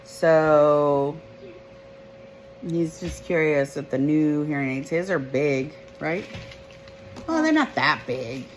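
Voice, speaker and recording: A woman filming a casual home vlog about her family, speaking softly and quietly into a phone camera held close. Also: lively intonation, slow, pauses often, slight background noise, poor audio. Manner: soft, low-volume talk that others struggle to hear. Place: at home, partly in bed.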